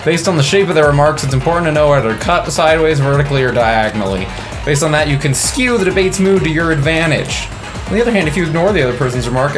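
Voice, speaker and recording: A man casually commentating on a video game and reading its text aloud as co-host. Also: a little high. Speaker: let's play co-host. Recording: loud game music behind the voice. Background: video game music.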